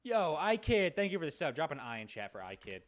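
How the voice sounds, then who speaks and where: A man's voice: a little high, very animated, a little fast. A young American man hosting a livestream, chatting casually with streamer slang and some swearing. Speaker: livestream host and prank caller. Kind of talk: livestream chat.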